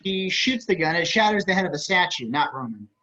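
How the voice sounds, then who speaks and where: Bright, high-voiced male script narrator. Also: sing-song, brisk. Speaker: stage directions reader. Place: indoors, on a video call. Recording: dull video-call audio.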